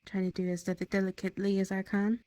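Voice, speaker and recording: A woman's voice: mid-range voice, little pitch movement, quick pace, dark tone, no room sound. A woman roleplaying a town medic in improvised dialogue, speaking in a hesitant, matter-of-fact way. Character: town medic tending a wounded hunter.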